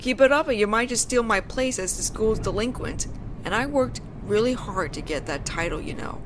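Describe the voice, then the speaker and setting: A woman playing a teenage delinquent girl in an intimate audio roleplay, casual and teasing with a softly caring side and thoughtful pauses. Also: a little high, expressive, noisy background, close to an everyday voice. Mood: teasing, impressed. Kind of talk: slow intimate audio roleplay addressed to the listener.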